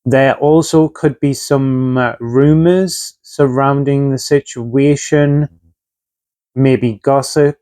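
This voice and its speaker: A man's voice: medium pitch, fairly even intonation, slow, mellow, no room sound. A man giving a casual tarot reading, talking conversationally with frequent fillers while getting over a chest infection. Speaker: tarot reader.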